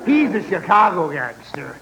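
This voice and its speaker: An actor playing a blustery Irish police inspector with an exclamatory, folksy brogue in a 1940s radio comedy. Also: male voice, high-pitched, big pitch swings, moderate pace, creaky, bright, forceful tone, muffled recording, heavily acted. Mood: brisk, genial. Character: blustery Irish police inspector, comic old-school cop. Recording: noisy, crackly 1940s radio broadcast.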